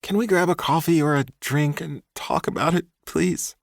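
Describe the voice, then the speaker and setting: A man's voice: on the high side, moderate pace, vocal fry, dry recording, studio quality, pitched above the performer's own voice. A man voicing a clingy young male ex-boyfriend who pleads in a whiny, wheedling way. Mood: tearful.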